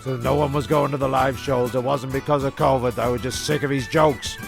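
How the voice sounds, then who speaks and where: A man with a strongly gravelly, creaky voice narrates a comedy radio play. He swears freely and trips over repeated words, with music clearly audible behind him. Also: mid-range voice, fairly even intonation, brisk. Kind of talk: sweary scripted comedy banter and recap.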